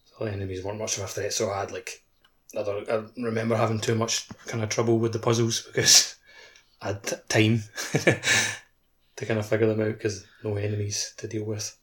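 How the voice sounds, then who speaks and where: A man in a casual video game podcast chat, speaking haltingly with lots of filler "like". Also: lowish voice, level tone. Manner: halting, peppered with "like". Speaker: podcast co-host.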